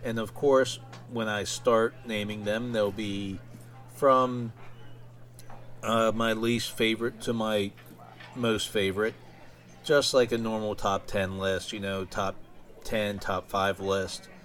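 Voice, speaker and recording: A man hosting a podcast alone in a casual, conversational style, with somewhat halting phrasing. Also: lowish voice, steady tone, fairly bright tone. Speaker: podcast host. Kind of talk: casual solo podcast talk.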